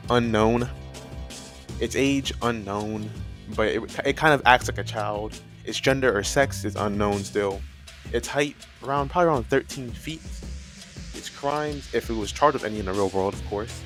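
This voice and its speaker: Low-voiced man rambling over music. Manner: rambling, hedging, often repeating and correcting himself. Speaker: video host explaining horror creatures. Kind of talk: casual, loosely structured explainer commentary.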